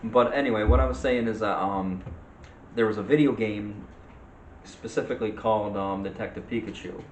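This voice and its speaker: A man co-hosting a casual chat, speaking in a hesitant, halting way. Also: lowish voice. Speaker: co-host. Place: indoors in an echoey room. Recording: echoey room with faint background noise.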